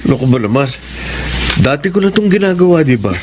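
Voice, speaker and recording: A man acting in a Filipino radio drama as a kind, devoted brother-in-law who loves to cook, speaking gently and earnestly with a Filipino accent. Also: on the high side, big pitch swings, brisk, noisy background. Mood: friendly. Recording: music behind the voice.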